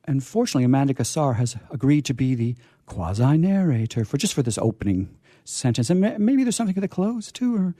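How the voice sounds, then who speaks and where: Mellow, animated man hosting a radio show. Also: mid-range voice, quick pace, no room sound, clean studio sound. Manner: rambling, hesitant, repeating himself. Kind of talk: casual unscripted show chat.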